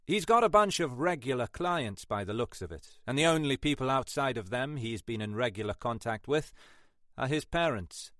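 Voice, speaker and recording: A man's voice: medium pitch, animated, moderate pace, fairly bright tone, dry recording, clean studio sound, natural voice. A man voicing a cocky young tech expert in his twenties with a posh English accent. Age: 20s. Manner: cocky, self-assured.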